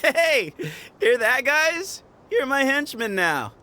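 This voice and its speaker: An actor playing a sly, mocking leader of a secret desert group in a video game, smooth-talking and playful. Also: male voice, extremely high pitch, sing-song, takes it slowly, bright, forceful tone, a little hiss or hum, exaggerated voice.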